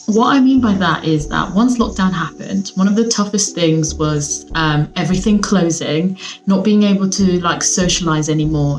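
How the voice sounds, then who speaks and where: A woman's voice: on the low side, rough recording. A young woman from Sweden, chatting casually and cheerfully to her viewers from home. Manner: chatty, upbeat, lots of fillers. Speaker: vlogger. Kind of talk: casual chat to camera. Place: at home, seated.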